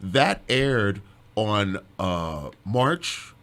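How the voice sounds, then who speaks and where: A middle-aged or older man, an interview guest, explaining things casually in halting, pieced-together sentences. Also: on the low side, very animated, a bit bright, clean tone. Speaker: music director, interview guest.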